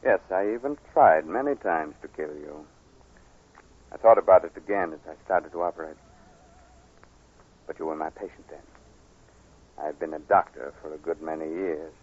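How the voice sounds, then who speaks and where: Casting: grave older doctor with a dark secret, deep measured voice, vintage radio sound. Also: male voice. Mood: solemn confession. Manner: measured, solemn. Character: grave older male surgeon, a guilty but principled murderer. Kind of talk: 1940s radio acting. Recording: muffled 1940s radio recording.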